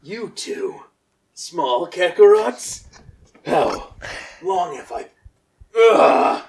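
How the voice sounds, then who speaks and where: A man's voice: high-pitched, sing-song, extremely slow, vocal fry, a little mellow, roomy sound, full character voice. A man voicing a hulking, cruel and menacing warrior villain in an amateur story reading. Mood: confused, groaning. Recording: noisy, distant microphone.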